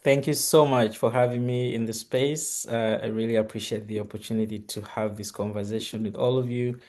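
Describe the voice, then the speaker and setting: A man's voice: lowish voice, steady tone, fairly quick, a little mellow. A middle-aged man from Uganda giving an academic presentation on a video call, hesitant, with many fillers and restarts. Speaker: guest speaker.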